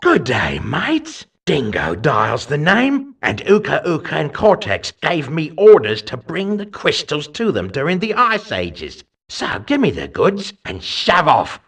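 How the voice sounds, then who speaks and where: Gravelly Australian animal villain. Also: male voice, on the high side, huge pitch swings, unhurried, few pauses, fairly bright tone, recorded from a distance, heavily acted. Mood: cocky, threatening. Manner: brash, slangy, threatening. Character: rough cocky male animal villain, game henchman. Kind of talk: video game cutscene voice acting.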